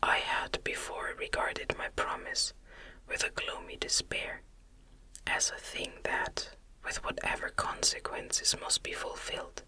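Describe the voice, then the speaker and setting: A soft, fully whispered ASMR reading of a classic novel, delivered breathily in slow, soothing, deliberate phrases with pauses. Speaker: audiobook reader.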